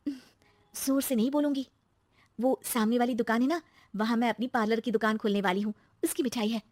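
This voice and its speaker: A woman voicing an excitable, chatty young married daughter-in-law who is easily aggrieved, in a storybook style. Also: fairly high pitch, fast talker, no room sound, close to an everyday voice. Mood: excited.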